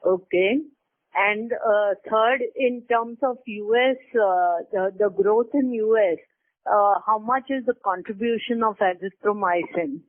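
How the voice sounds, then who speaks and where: A tinny phone line carries an Indian-accented woman speaking slowly at a medium pitch. She is an analyst, asking her questions haltingly, piece by piece. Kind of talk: earnings call questions. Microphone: telephone.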